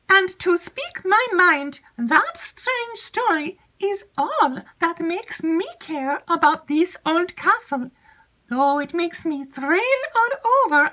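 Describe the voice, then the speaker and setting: A woman voicing an excitable, gossipy young female servant who chatters breathlessly. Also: very high-pitched, pitched above the performer's own voice, full character voice.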